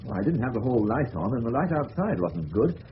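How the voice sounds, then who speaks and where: Actor plays shaken English suburban father, emphatic, lively pitch; radio drama, dull sound with faint hiss. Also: fairly quick, lightly acted. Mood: grave, recalling. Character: shaken, indignant middle-aged suburban father. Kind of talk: 1970s radio drama acting. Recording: dull hissy old radio recording.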